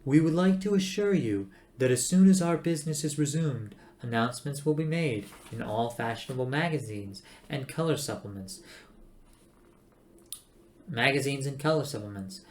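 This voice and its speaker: A man's voice: medium pitch, slight background noise, natural voice. A man voicing an old recorded announcer from an ancient planet, formal and coldly polite, with a hollow, reedy tone. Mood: menacing politeness.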